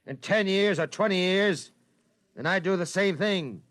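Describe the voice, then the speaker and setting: An actor playing a cold, ruthless executive in a 1950s radio drama, curt and domineering. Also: male voice, high-pitched, measured pace, a bit bright, dry recording.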